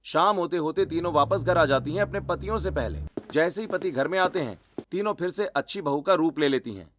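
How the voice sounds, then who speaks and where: A man's voice: a little high, lively intonation, a little fast, a little hiss or hum. A man reading scripted narration for a cartoon family story. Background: background music.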